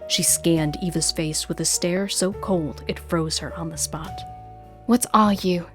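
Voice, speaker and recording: A woman's voice: deep voice, fairly even intonation, a little slow, music in the background. A woman hosting her own show, moving between scripted story narration and chatty talk as an author. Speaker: host and author.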